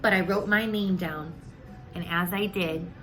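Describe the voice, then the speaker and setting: A woman's voice: fairly low pitch, steady tone, unhurried, fairly bright tone, faint music behind, rough recording. A woman reading a children's storybook aloud expressively, with dramatic, emphatic phrasing.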